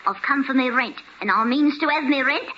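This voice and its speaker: A woman's voice: high voice, a little fast, natural voice. An actress playing a nagging, stubborn Cockney landlady in a 1950s radio drama, scolding and blunt. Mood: indignant, demanding. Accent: Cockney London. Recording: muffled old radio broadcast, faint noise.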